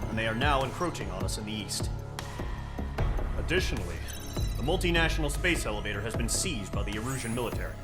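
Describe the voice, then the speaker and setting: A man plays a composed military officer in a video game, giving orders in a formal, authoritative way. His delivery is measured and grave, at a middling pitch, with music behind him. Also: lightly acted. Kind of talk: scripted military mission briefing.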